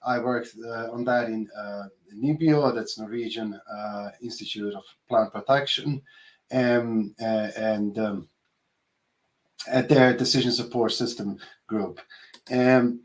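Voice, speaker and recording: A man's voice: fairly low pitch, fairly even intonation. Hesitant Bosnian man giving a talk. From Bosnia and Herzegovina. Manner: hesitant, halting, frequent filled pauses. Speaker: postdoctoral researcher giving a talk. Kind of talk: informal online conference presentation. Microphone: video call.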